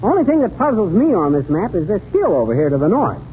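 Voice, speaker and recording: An actor playing a folksy, cocky know-it-all with an American accent, slangy and brash, in 1930s radio comedy. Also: male voice, high-pitched, huge pitch swings, fast. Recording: tinny, noisy 1930s radio recording.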